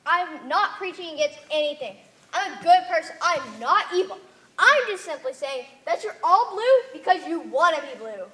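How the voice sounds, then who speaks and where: Outspoken, insistent girl outsider played by a woman; bright, lively intonation, distant-miked stage play. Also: high voice, moderate pace. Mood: defiant. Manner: plain-spoken, insistent, emphatic. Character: stubborn, outspoken, homesick young girl, an outsider. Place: theatre stage.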